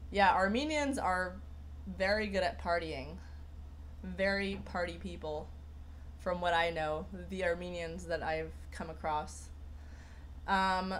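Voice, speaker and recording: A woman's voice: medium pitch, fairly bright tone, a little hiss or hum. A young woman hosting a casual livestream, rambling through viewer comments with lots of fillers and restarts. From Persian heritage. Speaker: livestream host.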